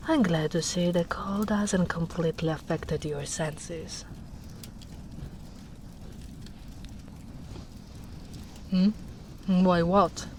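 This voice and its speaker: A big, strong but tender ogress, acted by a woman, speaks slowly in a soft, lowish voice. She is caring and lightly teasing, in an intimate ASMR roleplay. There is clear ambient noise behind her. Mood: fond, curious. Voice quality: soft-spoken. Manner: soothing, unhurried, gently teasing. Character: gentle big strong female ogre, caring, teasing rescuer. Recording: noisy storm ambience behind voice.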